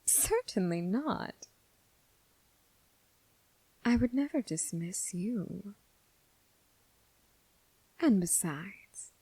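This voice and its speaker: A dangerous, seductive lady mob boss voiced by a woman murmurs close and dry into the mic. Her voice is dark and husky, often sinking toward a whisper. She teases with formal, deliberate phrasing, predatory and coolly amused, in an intimate ASMR roleplay. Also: mid-range voice, dry recording, heavily acted, poor audio. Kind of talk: ASMR roleplay, scripted character acting.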